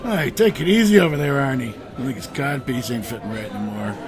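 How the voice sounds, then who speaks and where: A man's voice: mid-range voice, fast talker, a crowd in the background, close to an everyday voice. A man acting a wisecracking, skeptical adventurer who leads his band in a comic fantasy audio drama, talking casually and sarcastically. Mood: dismissive. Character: wisecracking, skeptical, down-to-earth male adventurer leader. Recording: music under the voice.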